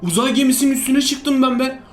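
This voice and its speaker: A man giving playful, excitable game commentary, full of exclamations and repeated commands. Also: very high voice, fast talker. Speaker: gaming video host. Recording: roomy echo, music underneath. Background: background music.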